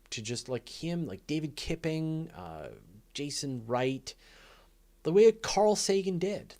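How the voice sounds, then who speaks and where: A man hosting a casual live space Q&A, talking off the cuff in an earnest, rambling way that halts and then rushes on. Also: mid-range voice. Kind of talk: casual unscripted livestream chat.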